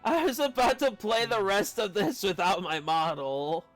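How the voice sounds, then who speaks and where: Guy with a really high voice chatting thru his game stream, casual, some music behind him. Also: normal speed, fairly bright tone. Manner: chatty, reactive. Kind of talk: casual live gameplay commentary.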